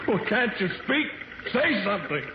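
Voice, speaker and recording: A man's voice: high-pitched, big pitch swings, normal speed, background noise. An actor playing a shaken, wounded man who narrates his ordeal in urgent, dramatic 1940s radio style. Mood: pleading. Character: shaken, wounded grown man, intense storyteller. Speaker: first-person narrator. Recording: dull old radio recording with loud music.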